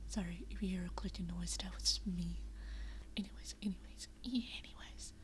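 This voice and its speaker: A young woman whispering quietly at night in a living room, chatting unscripted with many fillers and restarts. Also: fairly low pitch, steady tone, normal speed. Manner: rambling with frequent fillers and restarts. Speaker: VRChat vlogger. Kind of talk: unscripted casual chat.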